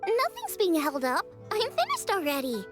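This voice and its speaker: A woman's voice: very high-pitched, huge pitch swings, normal speed, fairly bright tone, heavily acted. A woman voicing a cheerful, encouraging young girl chef in a video game, with music behind her. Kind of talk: English video game voice acting.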